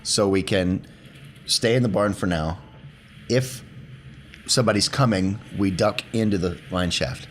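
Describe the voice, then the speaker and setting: Deep-voiced man playing a tabletop game. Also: a little slow, pauses often, a little hiss or hum. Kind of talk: casual in-game discussion.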